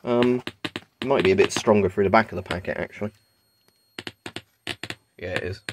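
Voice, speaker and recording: Man, lowish pitch, casual video demonstrator, improvised delivery, indoor camera audio, noisy background with clicks. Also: moderate pace. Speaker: video creator demonstrating gadgets. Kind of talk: casual off-the-cuff hands-on demonstration. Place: small carpeted room at home, indoors. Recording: clear background noise, clicking device. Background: Geiger counter clicks and crackle.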